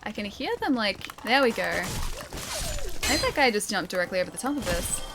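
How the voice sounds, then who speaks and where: A woman's voice: fairly high pitch, wildly swooping pitch, unhurried, noisy background. An Australian woman streaming a video game, chatting casually with her viewers, reading their messages aloud and laughing often. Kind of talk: casual live-stream gaming chat.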